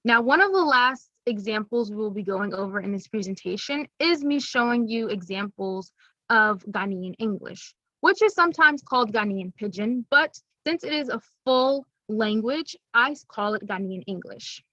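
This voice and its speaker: A woman's voice: on the high side, animated, moderate pace, bright, forceful tone, no echo, dull audio. A young woman giving an upbeat, teacherly presentation to schoolchildren, explaining things plainly. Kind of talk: recorded classroom presentation.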